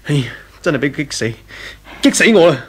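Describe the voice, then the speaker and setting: A man's voice: fairly high pitch, animated, brisk, a bit bright, breathy edge. A man with a Hong Kong Cantonese accent playing a jealous, self-pitying young rich husband in a 1980s radio drama. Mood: agitated, jealous. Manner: emotional, self-pitying, impatient. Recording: 1983 radio drama with background music.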